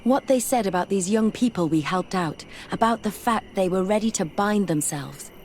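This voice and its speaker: A woman's voice: medium pitch, expressive, moderate pace, a little mellow, slight background noise, close to an everyday voice. Thoughtful, skeptical woman scholar played by an actress. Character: curious, skeptical, determined female scholar. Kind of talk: video game dialogue acting.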